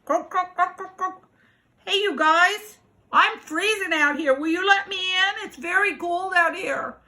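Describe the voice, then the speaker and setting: An eager little forest animal from a children's storybook, acted out by a woman. The voice is high and bright. The delivery is childlike, exclaiming and sing-song. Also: a little slow, heavily acted. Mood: pleading, exclaiming. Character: small forest animals, eager, chilly, pleading to squeeze in.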